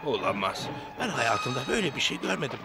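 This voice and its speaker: A man playing a wise old fatherly gnome leader in a cartoon dub, giving instructions with gravelly authority. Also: mid-range voice, sing-song, a little fast, loud music behind the voice. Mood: concerned, commanding.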